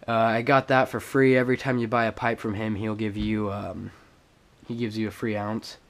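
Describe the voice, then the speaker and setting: A young man with a stuffed-up cold voice, casually showing off his things in a halting home vlog full of fillers. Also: lowish voice, flat delivery. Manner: halting, many fillers and restarts. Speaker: vlogger. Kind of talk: casual show-and-tell vlog. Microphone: action camera on a tripod.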